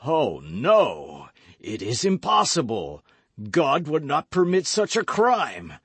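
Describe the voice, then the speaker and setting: Guy doing a gloomy stern nobleman, low and quiet, slow, heavy. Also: lively intonation, slightly rough, no room sound, heavily acted. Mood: grave. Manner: low, grave, murmuring. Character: grave brooding nobleman, stern and broken.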